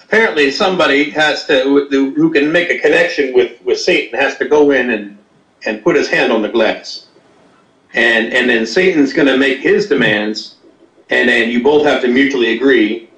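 A man hosting a casual comedy chat, rambling with deadpan crude jokes. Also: mid-range voice, moderate pace. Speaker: host. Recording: echoey room, off-mic, faint noise.

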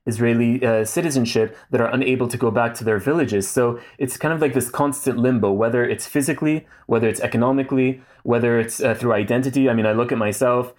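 A man's voice: medium pitch, fairly even intonation, quick pace, hardly any pauses, darkish tone. A man in his thirties, a guest in a casual interview, speaking thoughtfully with many fillers and restarts. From Saudi Arabia.

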